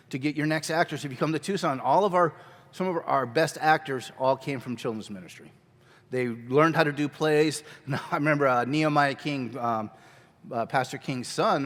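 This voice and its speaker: A man teaching a children's ministry workshop at a conference, talking energetically and conversationally on a handheld mic. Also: fairly high pitch. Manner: energetic, conversational, quick asides. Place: large church hall. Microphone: handheld microphone. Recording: long hall reverb.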